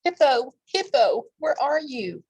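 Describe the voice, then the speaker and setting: A woman's voice: sits high, big pitch swings, slow pace, darkish tone, husky, dry recording, voice pushed up, exaggerated voice, low-quality recording. A woman voicing a small, cheerful, eager female duck from a storybook, exclamatory and bubbly. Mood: calling out.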